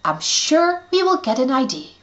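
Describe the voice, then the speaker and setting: A woman's voice: sits high, sing-song, normal speed, highs cut off, voice pushed up. A woman voicing a small, bossy and impatient female fairy. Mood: eager.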